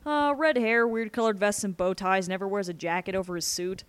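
A woman's voice: medium pitch, fairly even intonation, brisk, smooth, no echo, close to an everyday voice. A wary young storybook boy, read by a woman. The manner is nervous and questioning yet defiant, captured close with a dry sound. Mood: matter-of-fact.

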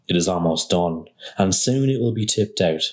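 A man reading a literary text aloud as scripted narration, in measured, deliberate phrases with pauses. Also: low voice, animated, darkish tone.

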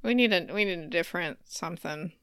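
A woman's voice: on the low side, fast, a bit bright, clean tone, no room sound, studio quality. A woman chatting casually and informally as a podcast co-host, easily amused. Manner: laughs readily. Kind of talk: casual chat.

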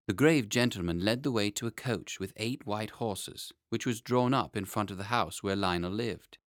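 A man reading a children's story aloud as an animated, scripted audiobook narration. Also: lowish voice, normal speed, dry recording, clean studio sound. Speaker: audiobook reader.

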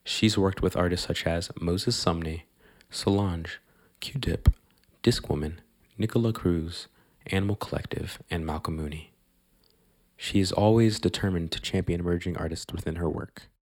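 A man reading a scripted introduction and thanks in an even, list-like way. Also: low voice, normal speed. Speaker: announcer.

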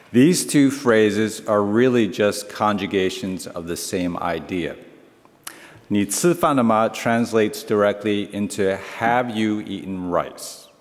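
A man whose first language is Chinese giving a playful, teacherly talk to a congregation, often checking in with the listeners. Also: on the low side. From United States. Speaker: congregation speaker. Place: large church hall. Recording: long reverb of a hall.

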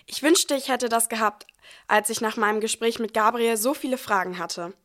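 A woman's voice: on the high side, moderate pace, fairly bright tone, lightly acted. A woman playing a devout, ordinary young expectant mother who tells her story in a scripted nativity audio play. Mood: awed, happy.

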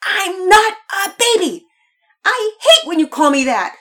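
A woman voicing a whiny, furious little girl who shouts and screeches, half crying, in a children's story. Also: very high voice, sing-song, unhurried, pitched above the performer's own voice, exaggerated voice. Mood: outraged, whiny.

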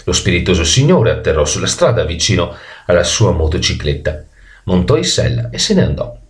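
A man with a deep voice tells a children's story. His intonation moves widely and expressively. He is animated and occasionally trips on a word and corrects it. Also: brisk. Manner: animated, stumbles and corrects words.

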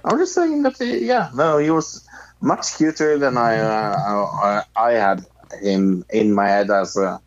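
A man chatting casually and haltingly, searching for words, on a dull lo-fi mic. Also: lowish voice, lively intonation, no room sound. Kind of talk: casual chat.

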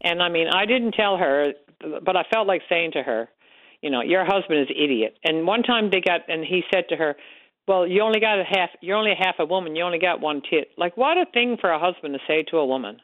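A woman with a Newfoundland accent calling in to a radio show, loud-voiced and frank, talking on and on. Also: lowish voice, animated, brisk, no room sound. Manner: frank, talkative storyteller. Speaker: caller, support group organizer. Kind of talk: radio call-in chat. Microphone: telephone. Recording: tinny phone line.

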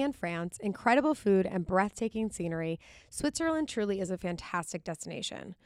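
A woman hosts a travel podcast in a chatty, easygoing way, often filling pauses with ums and you-knows. Her voice is smooth and clear. The sound is dry and close, with no room echo. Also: mid-range voice. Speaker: podcast host. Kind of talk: casual podcast chat. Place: office studio.

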